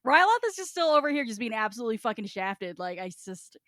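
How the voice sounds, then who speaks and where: A woman's voice: on the high side, very animated, quick pace, a bit bright, no echo, studio quality. A woman co-hosting a podcast in casual, informal chat, wandering through her sentences and swearing freely. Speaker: podcast host. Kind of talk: casual chat among co-hosts.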